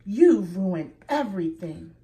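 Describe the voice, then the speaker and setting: A woman's voice: medium pitch, very animated, slow pace, mellow, far from the mic. A woman voicing a cross, sulky female rabbit who cries out indignantly in a storybook. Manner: crying out, indignant.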